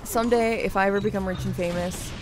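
A woman's voice: medium pitch, steady tone, fast, noisy background. A young adult woman giving casual, playful, slangy reaction commentary as she watches a video game. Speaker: gamer reacting to game cutscenes. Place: indoor room, game sound behind. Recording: loud game sound behind the voice.

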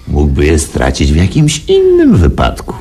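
An actor in a Polish radio play playing a smug, callous, wealthy boss who talks with amused, drawling self-assurance. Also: male voice, a bass voice, wildly swooping pitch, takes it slowly, mellow, a little hiss or hum, heavily acted. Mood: brazen, dismissive.

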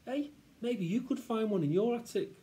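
A man's voice: high voice, moderate pace, mellow, voice pushed up, natural voice. A British man voicing a left-out schoolboy, whiny and wheedling, in a children's story. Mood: eager. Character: pleading, left-out northern English schoolboy.

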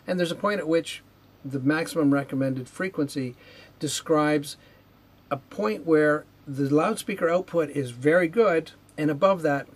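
A man in his fifties giving an unhurried technical explanation to camera in a measured, matter-of-fact way, with a slightly husky voice. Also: mid-range voice. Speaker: presenter, loudspeaker R&D manager. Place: indoor video studio with whiteboard.

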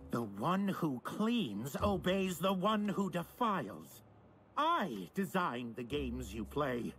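A man's voice: on the high side, big pitch swings, slow pace, fairly bright tone, faint music behind, heavily acted. An actor playing a theatrical, deranged serial killer in his sixties, a pompous and grandiose video game villain. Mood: grandiose, menacing.

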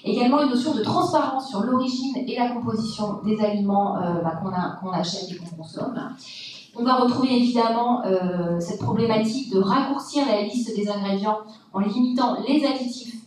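A woman's voice: fairly high pitch, moderate pace, darkish tone, distant mic, a little hiss or hum. A woman from Brittany, a food science lecturer, gives a public science talk, explaining step by step with frequent hesitations. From Brittany, France. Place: large lecture hall. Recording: echoey lecture hall, from afar.

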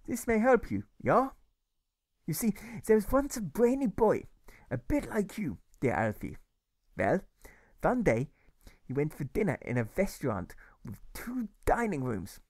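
Man doing a courteous German grocer with a German accent, pitched high, dry close sound. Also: huge pitch swings, measured pace, no room sound, full character voice. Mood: earnest. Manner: gentle, courteous, says yeah often. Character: kindly older German shopkeeper man, gracious.

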